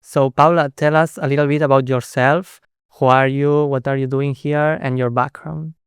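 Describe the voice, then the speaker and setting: A young man hosting a podcast, giving a measured, scripted introduction and steering the conversation. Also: mid-range voice, steady tone, clear, resonant tone, dry recording, studio quality. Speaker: podcast host. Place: studio at an office headquarters.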